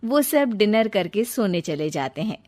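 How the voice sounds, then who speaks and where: A woman's voice: medium pitch, big pitch swings, unhurried, a little mellow. A woman narrating a scripted story as a storyteller.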